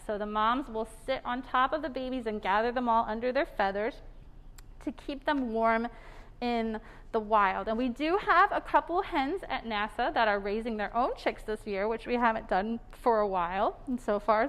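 A woman's voice: on the high side, normal speed, a bit bright. A young woman zookeeper, explaining things to viewers in a friendly way during a live stream from an indoor animal care room. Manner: friendly, explanatory, talks to viewers while working. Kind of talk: live behind-the-scenes zoo stream, answering viewer questions.

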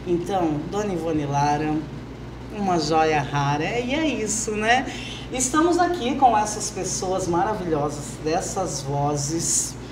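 A woman's voice: on the low side, lively intonation, unhurried, roomy sound. A Brazilian woman hosting a podcast in an informal, conversational way. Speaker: podcast host. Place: small wood-panelled TV studio, indoors. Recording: clearly noisy room ambience. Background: background noise.

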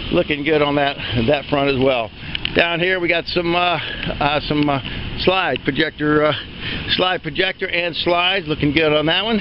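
A man's voice: a little high, lo-fi sound, background noise. A man walking an outdoor auction preview, listing items in a rambling, folksy way with many fillers. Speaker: auctioneer giving a preview walkthrough. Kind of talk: unscripted walk-and-talk tour. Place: outdoors at an open-air sale yard.

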